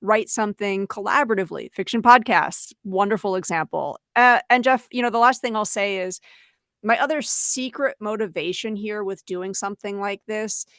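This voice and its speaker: A woman's voice: mid-range voice, normal speed, a bit bright, no echo. Woman educator explaining, in a dry recording. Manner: explanatory, voices example student lines, checks in with "right?". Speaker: podcast co-host and educator. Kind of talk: conversational webinar talk for teachers.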